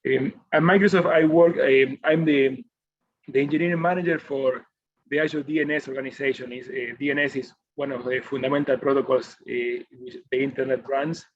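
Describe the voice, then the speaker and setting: A man's voice: medium pitch, fairly quick. Argentine man on a muffled video call. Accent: Argentine Spanish. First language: Spanish. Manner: relaxed, with frequent "you know" fillers. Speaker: engineering manager, panelist. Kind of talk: casual panel introduction. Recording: dull lo-fi video call with faint noise.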